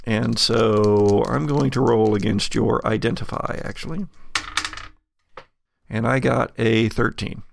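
Slow, very gravelly man narrating. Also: mid-range voice, fairly even intonation, background noise. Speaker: game master. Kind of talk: narrating a tabletop horror game.

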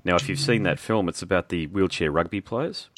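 A man's voice: low voice, brisk, background noise. A man, hosting a podcast in a friendly, chatty way. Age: 40s. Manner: friendly, chatty, says mate and man a lot. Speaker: podcast host. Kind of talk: casual interview chat.

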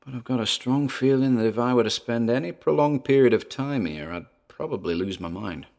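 An actor playing a nervous, driven paranormal investigator who records himself alone in an audio drama, talking haltingly to his listeners in an apprehensive, gravelly voice. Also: mid-range voice, darkish tone, close to an everyday voice.

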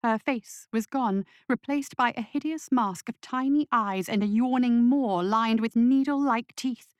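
A woman's voice: fairly high pitch, a little slow, clean tone, dry recording. Dry, close-sounding woman narrating horror. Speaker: story narrator. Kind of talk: scripted horror audiobook narration.

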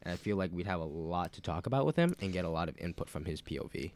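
A podcast host who is sick with a cold and sounds nasal, talking in a loose, rambling, profane way with lots of fillers. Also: male voice, low-pitched, animated, fast, a little mellow. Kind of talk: casual rambling chat.

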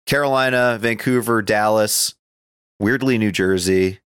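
Man, lowish voice, podcast co-host, casual sports chat, rambling analysis, dry studio-like sound. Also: unhurried, a bit bright, smooth, dry recording.

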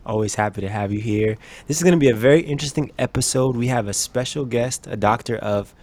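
A man hosting an interview podcast, friendly and quick to laugh. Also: mid-range voice, a little mellow. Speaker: podcast host.

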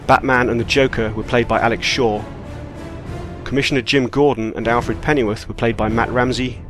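A man delivers scripted end credits as an announcer. His pitch is average for a man. Music plays softly behind him. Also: steady tone, moderate pace. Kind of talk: scripted narration, reading credits. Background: faint music.

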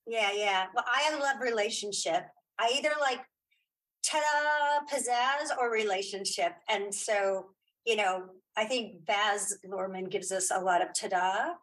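A woman's voice: mid-range voice, unhurried, fairly bright tone, poor audio. A woman chatting casually as an interview guest, often repeating words and restarting as she goes. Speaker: guest, filmmaker and writer.

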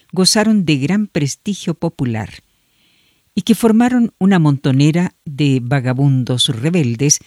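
A man giving scripted radio narration about local legend in a measured, storytelling way. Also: a little high, darkish tone, dry recording, studio quality.

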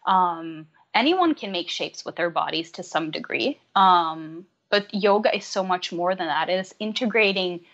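A woman's voice: on the low side, lively intonation, normal speed, few pauses, fairly bright tone, muffled recording. Hesitant Norwegian woman, yoga teacher. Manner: reflective, many ums and hesitations. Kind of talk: casual podcast conversation.

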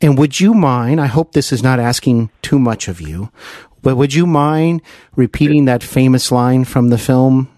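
A man hosting a conversational celebrity interview in an effusive, reverent manner with halting phrasing. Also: mid-range voice, dark tone, dry recording, clean studio sound. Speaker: interview show host.

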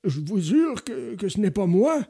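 This is a narrator acting a stubborn little old carpenter in a storybook. His voice is dark and rough-edged, with animated intonation. He argues back like a man sure of his innocence. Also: mid-range voice, unhurried, voice pushed up, heavily acted. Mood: stubborn, protesting. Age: older. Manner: protesting, obstinate. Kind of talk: storybook character voice.

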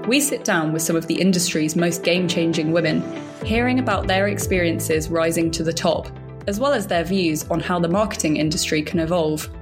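A woman hosting a podcast, reading a polished introduction and then interviewing in a measured, friendly way. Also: lowish voice. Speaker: podcast host. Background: faint music under intro.

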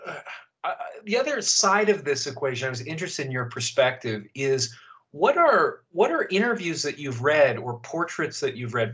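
A male host who talks in a thoughtful, measured way, sometimes pausing on fillers before a question. His voice sits in a middling range. He sounds conversational rather than scripted. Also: medium pitch, a bit bright. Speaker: podcast host. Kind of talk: conversational interview.

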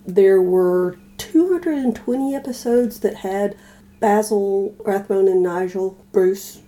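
Mellow-voiced woman, casual podcast guest. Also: mid-range voice, slow pace. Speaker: podcast guest, old-time radio fan. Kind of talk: casual conversation.

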